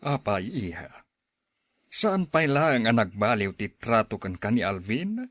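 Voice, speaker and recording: A stern ilocano dad in a radio drama, bright forceful voice, lots of swing, paternal. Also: male voice, on the low side, very animated, unhurried, dry recording, clean studio sound, heavily acted. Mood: concerned. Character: stern middle-aged father and father-in-law.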